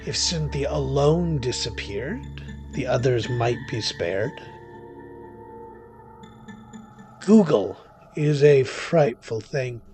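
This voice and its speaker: A man acting a wry, secretive witch, music underneath. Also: mid-range voice. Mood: wry, musing. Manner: wry, theatrical, deliberate, with sudden swells and drops. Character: wry, secretive, disfigured male witch narrating his mission. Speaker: narrator of an audio drama. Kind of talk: dramatic first-person horror-thriller narration.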